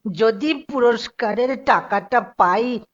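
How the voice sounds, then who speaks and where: A poor old Brahmin man in a cartoon, acted out by a woman, pitched very high and speaking slowly. He is desperate and halting, pleading and repeating himself. The soundtrack is a little dull. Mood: pleading, weary. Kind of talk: cartoon voice acting.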